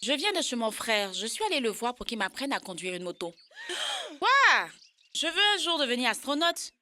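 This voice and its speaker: A woman's voice: high voice, moderate pace, exaggerated voice. A woman voicing a spirited, earnest young girl in a children's cartoon. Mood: eager. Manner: earnest, expressive. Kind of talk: French-language children's cartoon dub.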